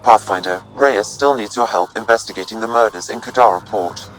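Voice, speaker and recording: An actor voicing a calm, formal, helpful artificial intelligence with measured delivery in a video game. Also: male voice, on the low side, faint music behind, lightly acted, low-quality recording. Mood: calm, informative.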